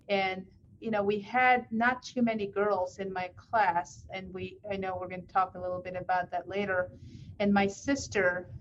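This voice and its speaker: A woman cardiologist from India speaks as an interview guest, thoughtful and a little hesitant. Her voice comes through a slightly dull, muffled line, with faint noise and a quiet ambience around it. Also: mid-range voice. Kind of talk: conversational interview.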